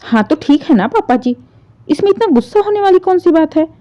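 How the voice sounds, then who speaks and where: Woman acting a huffy, high-pitched daughter-in-law. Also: animated, a little fast, muffled recording. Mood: huffy, dismissive. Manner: huffy, dismissive, pushy. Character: brusque, impatient daughter-in-law hurrying everyone along.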